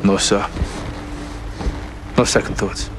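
An actor playing an earnest, uneasy young soldier in a video game, terse and questioning. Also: male voice, slow, lightly acted. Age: young adult. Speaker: army sergeant. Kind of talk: cinematic video game acting. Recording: music and vehicle noise behind.

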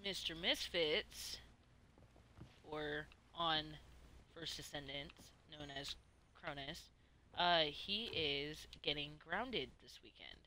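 A woman with a bright, forward voice chats casually as she streams a game. She rambles and hesitates at an unhurried pace and swears freely. Faint noise and game ambience sit behind her. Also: low voice. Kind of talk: casual livestream chat while playing.

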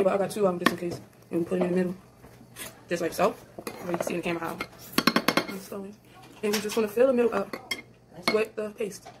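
A young woman chatting casually to her viewers while cooking in her home kitchen, calling them y'all. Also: mid-range voice. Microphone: phone camera on the counter. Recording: noisy phone recording with kitchen clatter.

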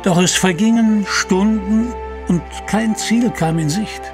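A man's voice: high-pitched, slow, music in the background. A man narrating an adventure audio drama with dramatic storytelling delivery.

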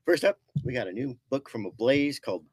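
A man's voice: medium pitch, animated, fairly quick, darkish tone, slightly rough, no echo. Man, casual comic shop podcast, recommends new books, dry close sound. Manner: enthusiastic, recommends books one by one. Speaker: co-host presenting new comics. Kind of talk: casual chat. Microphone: desk mic.

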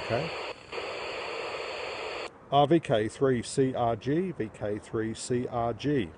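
A man's voice: mid-range voice, slow. Casting: Australian male radio hobbyist, forties, casual chat and call signs, very noisy handheld recording. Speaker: amateur radio operator. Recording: noisy handheld phone video, loud background hiss.

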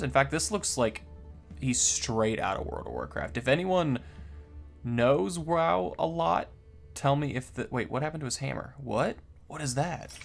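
A young man with a creaky, fry-edged voice of middling pitch hosts a gaming video. His commentary is casual and conversational, with music playing behind him.

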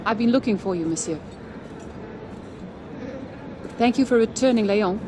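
An actress playing a gentle, sorrowful middle-aged French orphanage keeper in a video game, soft and reflective. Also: female voice, medium pitch, quick pace, loud music behind the voice, close to an everyday voice. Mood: wistful. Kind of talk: video game cutscene acting.

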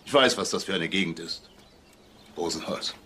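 An actor playing a stern, controlling middle-aged father in a German crime radio drama, speaking curtly and haltingly. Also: low voice, pushed and bright, a little hiss or hum. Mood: tense, grieving. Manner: slow, curt, halting. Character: stern, controlling, grieving father of a grown daughter.

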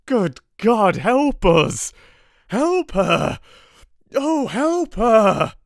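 A man voicing a frantic young husband, shouting desperate pleas. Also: sits high, very animated, extremely slow, dry recording, studio quality, voice pushed up, exaggerated voice.

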